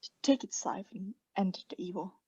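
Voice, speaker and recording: A woman playing a mad condemned murderess in a radio play, intense and halting, often sinking into breathy hushed tones as she insists she is sane. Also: medium pitch. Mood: obsessive. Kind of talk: theatrical radio play monologue.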